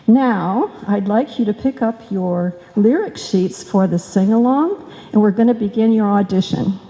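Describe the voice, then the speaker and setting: A woman's voice: medium pitch, a little slow, warm tone. A woman director giving an informal, chatty speech with joking asides to a live audience. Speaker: director of a radio players group. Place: indoor stage in a hall. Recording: reverberant hall, live stage event.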